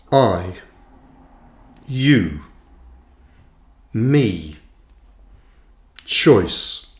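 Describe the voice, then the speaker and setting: A man reading his own reflective book aloud like an audiobook, with deliberate, measured phrasing and pauses. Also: medium pitch. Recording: roomy, echoing voice recording.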